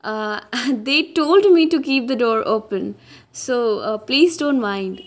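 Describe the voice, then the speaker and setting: A woman playing a gentle, hopeful wife awaiting her husband in an amateur radio drama, polite and hesitant. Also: fairly high pitch, animated, slow pace. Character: Shaila Rathore, gentle, hopeful waiting wife, in denial.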